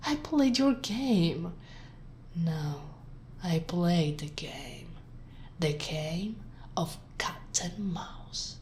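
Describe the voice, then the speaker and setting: Casting: woman playing sultry, smug lady sheriff for ASMR roleplay, lowish voice, unhurried, flirty taunts sliding into whispers. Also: a little hiss or hum. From Italy. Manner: sultry, teasing, breathy drops into whispers. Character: teasing, smug, flirtatious female sheriff gloating over captive. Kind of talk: ASMR roleplay, scripted acting.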